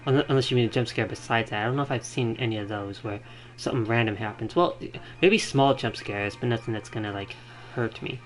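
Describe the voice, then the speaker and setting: A man streaming a video game, commenting casually and thinking out loud in a rambling way. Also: medium pitch, fast talker, poor audio. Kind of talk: casual live gameplay commentary. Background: video game music.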